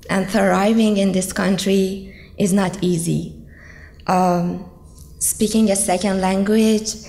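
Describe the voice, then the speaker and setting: Slow, gravelly-voiced young woman from Iran. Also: mid-range voice, a little mellow. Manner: earnest, hesitant, many ums. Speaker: student speaker. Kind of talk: personal testimony speech. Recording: echoey hall, long reverb.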